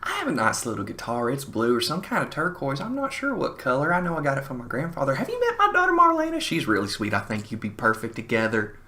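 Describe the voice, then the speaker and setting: A man's voice: very high-pitched, wildly swooping pitch, moderate pace, hardly any pauses, fairly bright tone, pitched above the performer's own voice, full character voice. A man voicing a chatty, pushy older woman in a comic bar story, rambling and overly friendly. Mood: gushing, nosy.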